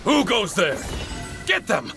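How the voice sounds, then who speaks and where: A stern game commander calling out orders. His gravelly voice is pushed high and swings wildly in pitch, with loud battle music behind. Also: huge pitch swings, slow pace, exaggerated voice. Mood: commanding. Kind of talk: video game voice acting.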